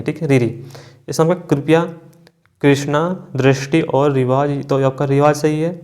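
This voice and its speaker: A man's voice: a little high, a little mellow. A man teaching an online exam class, explaining question by question, repeating points and often adding short check-in tags. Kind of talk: online class working through multiple-choice questions.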